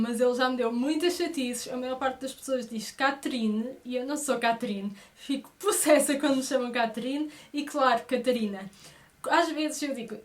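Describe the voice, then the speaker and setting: High-pitched young Portuguese woman vlogger. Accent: European Portuguese. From Brazil, moved to Portugal aged six. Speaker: vlogger introducing her channel. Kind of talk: casual chat to viewers.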